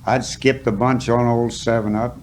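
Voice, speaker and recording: A man's voice: medium pitch, little pitch movement, darkish tone, slight background noise. A man reciting a cowboy poem as a dramatic story, in a folksy, rustic manner. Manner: folksy, drawn-out phrasing with rustic dialect.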